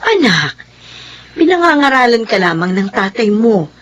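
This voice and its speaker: An actress playing a caring, worried middle-aged mother in a radio drama, with a Filipino accent, gently admonishing and pleading. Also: on the high side, big pitch swings, moderate pace.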